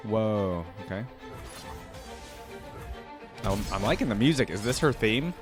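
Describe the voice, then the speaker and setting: A man's voice: fairly low pitch, lively intonation, a little slow, over music. A man hosting a casual reaction video, talking enthusiastically and rambling with many fillers.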